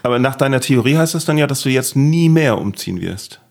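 A man's voice: mid-range voice, lively intonation, brisk, a little mellow. Rambling, self-mocking man hosting a podcast. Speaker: podcast host. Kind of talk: casual interview chat.

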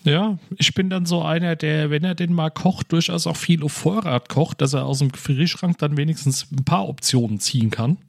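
A man's voice: on the high side, moderate pace, vocal fry, few pauses, dry recording, studio quality. A man co-hosting a podcast in casual conversation, talking colloquially with a wry touch. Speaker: podcast co-host.

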